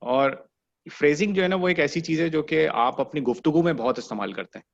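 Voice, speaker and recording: A man's voice: on the high side, brisk, vocal fry, highs cut off. A man teaching an online animation class, explaining patiently and checking that students follow. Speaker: animation instructor.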